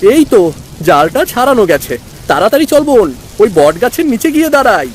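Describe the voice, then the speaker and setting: A woman's voice: on the high side, very animated, darkish tone, slight background noise. A woman voicing a protective, reassuring elder brother, a boy in a Bengali village cartoon. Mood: urgent. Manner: brisk, reassuring, mildly bossy. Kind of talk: Bengali cartoon story voice acting. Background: music and rain.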